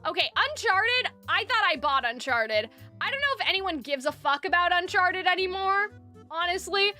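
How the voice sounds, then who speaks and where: A woman's voice: extremely high pitch, expressive, normal speed, bright, forceful tone, faint music behind. A young adult woman streamer in casual chat, rambling with fillers and restarts, her voice dry from lack of water.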